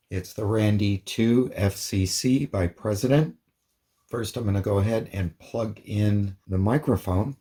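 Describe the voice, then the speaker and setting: A man's voice: on the low side, mellow. A man presenting an informal hands-on demonstration as a hobbyist, explaining things plainly step by step.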